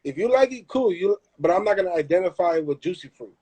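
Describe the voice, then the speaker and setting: A young man talks in a casual live chat, animated and emphatic, often restarting and repeating words. His voice sits a little high, with a mellow, relaxed tone. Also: quick pace, slightly rough. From Southern California. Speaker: host.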